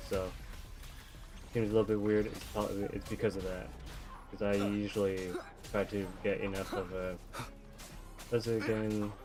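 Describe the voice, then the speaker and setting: A man's voice: fairly low pitch, little pitch movement, normal speed, pauses often. A man giving casual video game commentary, thinking aloud in a rambling way. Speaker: streamer playing a video game. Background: video game music.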